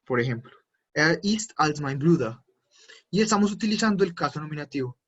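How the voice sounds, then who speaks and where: A man's voice: on the high side, expressive, a little fast, breathy edge. A man teaching German in an online class, explaining patiently step by step with frequent fillers. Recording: dull lo-fi online class audio.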